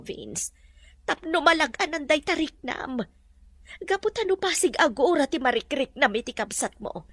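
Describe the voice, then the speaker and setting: A woman acts a firm female counselor in an audio drama, moralizing and earnest. Her voice is high-pitched and sounds close, with a dry studio feel. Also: a bit bright, no echo. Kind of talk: Ilocano audio drama acting.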